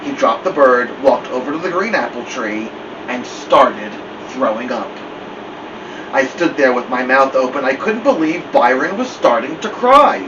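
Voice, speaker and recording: Man reading a story, distant under loud hum. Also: mid-range voice, lively intonation, measured pace. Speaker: storyteller reading a novel aloud. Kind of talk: animated first-person storybook narration. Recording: dull, distant mic with loud air-conditioner hum.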